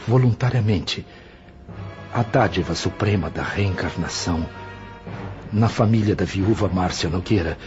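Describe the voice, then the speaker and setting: A solemn spirit mentor confesses with grave remorse in a low Brazilian voice. His speech is measured and earnest, in an audio drama with prominent music under dull, lo-fi sound. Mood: solemn confession. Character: Brother Félix, solemn remorseful benevolent spirit mentor confessing past sins.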